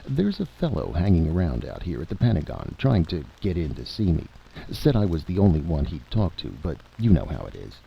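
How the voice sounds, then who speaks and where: A man plays a folksy, inquisitive general in an old radio drama. His voice is very deep and gravelly, his talk informal and probing. The recording sounds muffled. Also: wildly swooping pitch, a little fast, exaggerated voice. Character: gruff, folksy, nagged-by-curiosity male army general. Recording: dull, noisy vintage radio recording.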